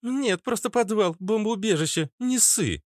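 A brash young woman of an audiobook tale , acted out by a man, cheeky and quick to snap. The voice is pushed high and swings with slangy insolence, recorded dry and close. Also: lively intonation, no echo. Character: brash, feisty red-haired young woman, cheeky and rude.

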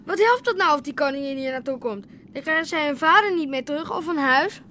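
A woman's voice: high-pitched, lively intonation, fairly quick, pushed and bright, slight background noise. An actress playing a blunt, caring and sarcastic young village woman in a naturalistic radio drama. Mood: scornful. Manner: scornful, blunt.

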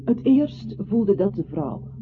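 A man's voice: high voice, big pitch swings, unhurried, slight background noise. A male actor in a radio play playing an earnest, lyrical narrator who recites in an articulate storytelling manner. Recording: thin phone-like radio sound, faint music.

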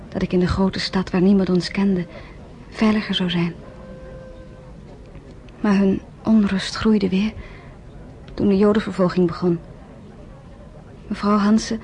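A woman's voice: mid-range voice, a little mellow, slight background noise, close to an everyday voice. A woman playing an earnest teenage girl. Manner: earnest, hesitant, stumbling over words. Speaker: radio drama actress. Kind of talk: radio play narration and acting.

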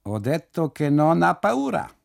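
A man's voice: fairly high pitch, very animated, a little slow, vocal fry, pitched above the performer's own voice, exaggerated voice. A man voicing an insistent Sicilian custodian in a radio play, speaking emphatically. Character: forceful Sicilian male custodian insisting in Italian.

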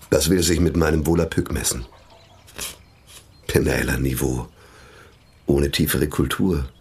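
An actor playing a pompous older priest in a radio play, haughty and declamatory. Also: male voice, fairly low pitch, husky, heavily acted. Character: pompous older male priest, language inventor, scornful. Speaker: pastor.

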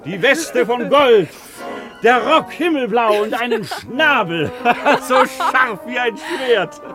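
An exuberant young working man in love in a 1950s radio drama. His voice sits high and leaps up and down, bubbling with hope and laughter, with music playing behind him. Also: takes it slowly, heavily acted. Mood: giddy, tender. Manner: excitable, laughs through his speech. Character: exuberant young working-class craftsman in love, defiantly hopeful.

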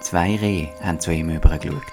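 A man's voice: sits low, steady tone, brisk, background noise. A man with a Swiss German accent narrating a scripted children's story.

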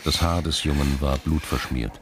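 A man narrating a radio crime drama as a sober, grave storyteller in a measured scripted style. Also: a bass voice, fairly even intonation, a little hiss or hum.

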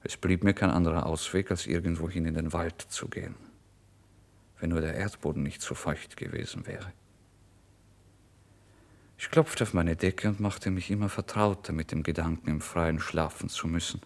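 A man narrating a literary first-person story in a brooding, expressive way, moving between hushed and emphatic delivery. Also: low-pitched, normal speed, creaky. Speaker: audiobook narrator.

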